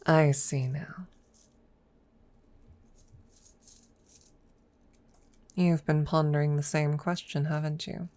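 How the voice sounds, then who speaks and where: A woman voicing a proud, guarded female dragon in an ASMR audio roleplay, speaking formally and deliberately in a close, breathy murmur that often slips toward a whisper. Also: low-pitched, steady tone, a little mellow, dry recording, faint music behind, natural voice. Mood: hushed, curious. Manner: formal, deliberate, hesitant, with long pauses. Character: proud, guarded female dragon secretly fond of her rival. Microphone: close microphone.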